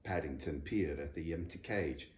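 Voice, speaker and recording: A man narrating a children's story in an expressive, scripted storytelling style. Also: very deep voice, moderate pace. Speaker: storybook reader. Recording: roomy echo, background noise.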